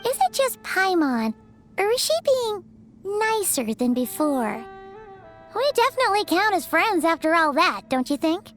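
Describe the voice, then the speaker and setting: A little fairy sidekick from a video game with an extremely high, squeaky voice. The delivery is bubbly, chatty and very animated, swinging up and down in pitch, with music playing behind it. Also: female voice, a little slow, exaggerated voice. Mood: chirpy, playful. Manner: refers to self in third person, bubbly. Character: small cheerful childlike fairy companion, chatty and excitable. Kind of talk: video game character acting.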